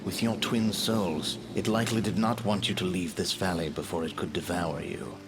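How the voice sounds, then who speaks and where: A man voicing the sorrowful ghost of a baron in a video game, speaking slowly and formally. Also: deep voice, steady tone, very noisy. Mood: grave, weary. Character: remorseful ghost of a male baron. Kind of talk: dramatic video game voice acting. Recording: loud music and rain behind. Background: game music and rain.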